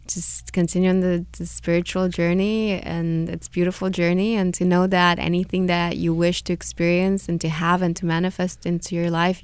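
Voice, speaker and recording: A woman with a Hungarian Romanian accent speaks as a radio guest in a slightly low, smooth voice. She sounds earnest and encouraging, repeating small words as she goes. The studio sound is close and dry. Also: a little mellow, dry recording. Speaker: guest, holistic healer and author. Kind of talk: radio interview.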